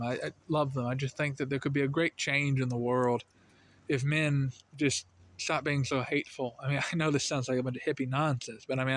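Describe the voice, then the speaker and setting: An American man giving casual religious commentary, earnest and rambling, full of fillers, his voice lifting when he makes a point. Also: medium pitch. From United States. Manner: earnest, rambling, heavy with "you know" and "I mean" fillers.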